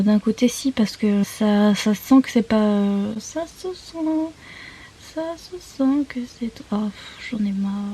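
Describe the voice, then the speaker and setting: A woman's voice: medium pitch, warm tone, husky. A woman chatting casually as a book vlogger, rambling off on tangents and poking fun at herself. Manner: self-mocking, jokey, digressive. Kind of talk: casual rambling vlog chat.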